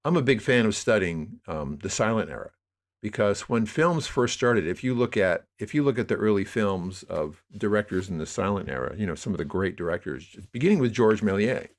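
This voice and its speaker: An older American man talking reflectively as an interview guest, in a halting, rambling way that circles back on his phrases. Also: fairly low pitch, lively intonation. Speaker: interview guest, media producer.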